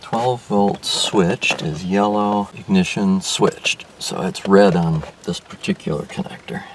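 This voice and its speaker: Low-voiced older man talking through car wiring. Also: slow pace. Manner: thinks aloud with many restarts and fillers while working. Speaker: car restoration vlogger. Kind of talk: casual hands-on explanation. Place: inside a car, outdoors in daylight. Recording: distant mic with faint background noise.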